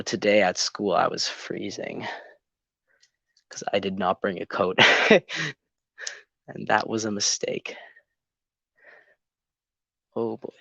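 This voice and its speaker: A man's voice: lowish voice, creaky, fairly bright tone, no room sound. A teenage boy from Canada, a bit congested from being sick, chatting casually on a live stream and rambling with lots of ums. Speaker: YouTube channel host. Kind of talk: casual live-stream chat while building.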